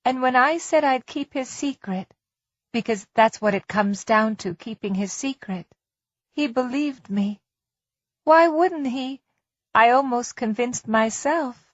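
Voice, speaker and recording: A woman voicing a troubled middle-aged wife in an audiobook, controlled and quietly resolute. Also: on the high side, a little slow, dry recording, dull audio. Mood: anguished, inward. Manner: stern, controlled.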